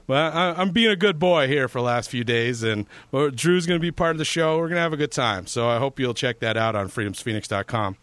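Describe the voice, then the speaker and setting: A man's voice: medium pitch, fairly quick, few pauses, a bit bright, dry recording. A man filling in as a talk radio host, rambling casually with a sarcastic edge and frequent restarts.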